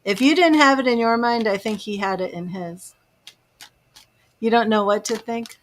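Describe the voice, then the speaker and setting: Lady doing a live tarot stream, kinda high voice, musing and chatty with viewers. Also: normal speed. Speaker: tarot reader.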